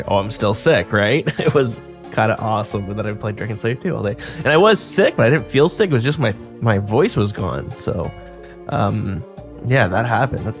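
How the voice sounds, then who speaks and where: A man hosting a podcast in casual chat, his voice a bit hoarse from getting sick, rambling with many fillers and restarts. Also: medium pitch. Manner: rambling, filler-heavy, self-interrupting. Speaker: podcast host.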